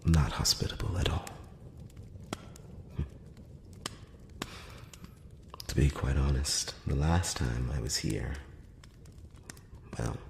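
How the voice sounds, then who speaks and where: A man acts out an ancient elven envoy in a whispered fantasy roleplay, with an extremely deep, soft voice. He speaks slowly and formally, with sly, courtly condescension. The close voice rings through a long, echoing hall reverb. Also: gravelly, a little hiss or hum, exaggerated voice. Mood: wry, guarded. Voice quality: very low, soft, often slipping into whisper. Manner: slow, hushed, measured, with formal courteous phrasing. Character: ancient, courtly, slyly condescending male elf emissary. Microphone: close-up microphone.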